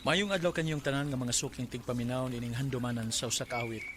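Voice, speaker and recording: A man's voice: medium pitch, steady tone, quick pace, close to an everyday voice. An actor playing an earnest man who tells his life story in a radio drama. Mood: earnest, greeting. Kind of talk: radio drama narration and dialogue.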